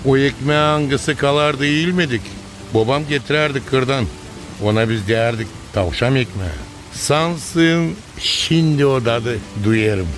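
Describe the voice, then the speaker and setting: A man playing a kindly, rustic old father in a cartoon, with a Gagauz accent and a folksy, unhurried way of explaining. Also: medium pitch, soft background music, close to an everyday voice. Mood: nostalgic. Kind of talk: animated cartoon dialogue.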